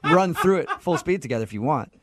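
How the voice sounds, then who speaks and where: Fast-talking, joking male radio host. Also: a little high, expressive, noisy background. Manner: joking, teasing. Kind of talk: comedic radio banter.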